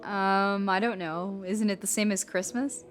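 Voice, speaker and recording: A woman's voice: mid-range voice, steady tone, measured pace, faint music behind, natural voice. An actress playing a wry, casual woman who is jaded about church, in naturalistic audio drama dialogue. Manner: dry, casual, joking.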